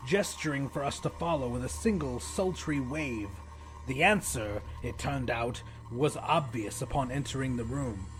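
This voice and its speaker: Animated, higher-voiced male audiobook narrator. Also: rough recording. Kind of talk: dramatic first-person fiction narration.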